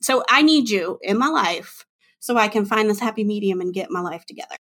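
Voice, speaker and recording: A woman in her late thirties hosting a casual interview, chatty and giggly, with quick self-mocking asides. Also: mid-range voice, fairly bright tone. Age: 30s. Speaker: podcast host.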